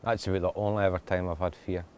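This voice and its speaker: A man's voice: deep voice, level tone, fast talker, darkish tone, a little hiss or hum. A Scottish lifeboat crewman, recounting a rescue in a plainspoken interview manner. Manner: plainspoken, with a short laugh now and then. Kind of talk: interview recollection.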